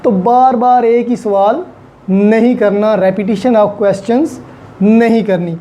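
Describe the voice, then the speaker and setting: A woman's voice: on the high side, fairly even intonation, slow pace, mellow. A woman with a Pakistani accent lecturing on law in a didactic way, mixing in English terms and repeating key points for emphasis.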